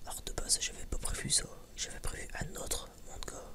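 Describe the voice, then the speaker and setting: A man whispering a casual, rambling ASMR chat, informal and sweary, hesitant and often stammering over repeated words. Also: a little fast. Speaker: ASMR video creator.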